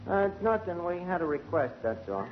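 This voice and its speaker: An actor playing a flustered, wisecracking New York tavern manager in a 1940s radio comedy, slangy and grumbling. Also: male voice, high voice, very animated, a little fast. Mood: evasive. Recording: noisy, muffled 1940s radio broadcast.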